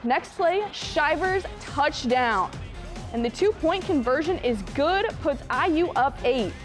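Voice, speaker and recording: Young female sportscaster, high voice, scripted highlight reads, light music underneath. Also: slow pace. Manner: punchy, excited calls on big plays, wry asides. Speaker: sports anchor.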